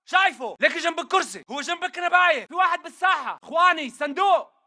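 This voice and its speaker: Very high, rapid-fire insurgent lookout. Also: male voice, expressive, exaggerated voice. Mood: urgent, alert. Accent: Levantine Arabic. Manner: urgent, clipped shouting. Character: frantic male insurgent lookout spotting enemies. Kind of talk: video game battle callouts.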